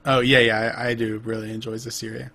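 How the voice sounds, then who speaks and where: A man's voice: medium pitch, little pitch movement, quick pace, vocal fry, pushed and bright. A man co-hosting a podcast, chatting casually about games over a remote call, rambling with lots of fillers and restarts. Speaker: podcast co-host. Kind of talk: casual gaming chat.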